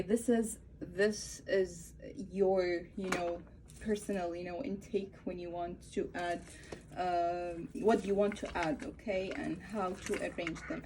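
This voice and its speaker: A woman in her thirties from Egypt, an Arabic speaker with an Egyptian accent, chatting through a craft tutorial at home and often pausing to search for words. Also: medium pitch, noisy background. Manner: hesitant, searching for words with many fillers. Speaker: craft vlogger. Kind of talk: casual tutorial chat.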